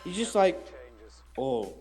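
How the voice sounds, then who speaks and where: A man's voice: high-pitched, sing-song, slow pace, a little mellow, faint music behind. Rambling teen guy telling a story. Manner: rambling storytelling with many "I was just like" asides. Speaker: podcast co-host. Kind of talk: casual chat, telling a long story.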